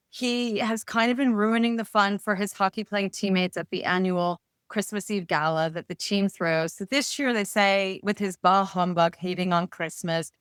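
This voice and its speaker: A woman author being interviewed, pitching her books conversationally with upbeat, playful storytelling. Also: fairly bright tone, clean tone, no echo, clean studio sound.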